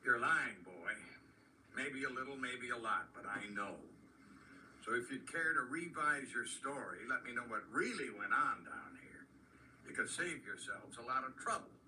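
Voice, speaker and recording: Casting: a sardonic American lawman, forceful sharp edge, swooping folksy delivery, needling interrogator in a radio play. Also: mid-range voice, lively intonation, moderate pace. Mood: suspicious, accusing. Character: suspicious small-town male constable, gruff and folksy. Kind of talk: radio drama acting. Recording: distant mic, faint background noise.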